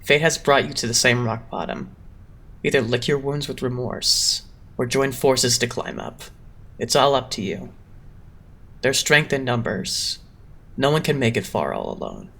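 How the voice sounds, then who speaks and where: A man acting a poised, condescending young idol leader in an anime-style dub, measured and theatrical. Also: mid-range voice, very animated, vocal fry, sharp tone, heavily acted. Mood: lofty, patient.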